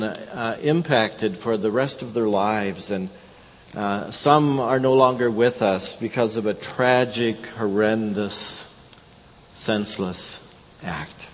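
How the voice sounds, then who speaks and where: A male pastor, a native Korean speaker, delivering a sermon in halting English, full of hesitations and restarts. His voice sits at a middling pitch and rings with a long reverb from the church hall. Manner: halting, many uh fillers and repeated words.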